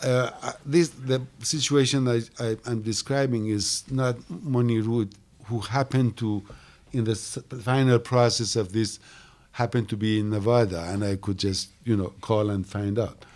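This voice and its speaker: A man, a translator on a panel, speaking haltingly with many restarts. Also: medium pitch, fairly even intonation, a little mellow. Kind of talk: panel discussion.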